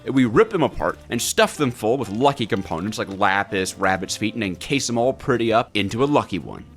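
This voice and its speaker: Male gaming host, lively swooping intonation, playful scripted narration with faint music behind. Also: mid-range voice. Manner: excitable, playful, emphatic. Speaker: host, gaming video creator.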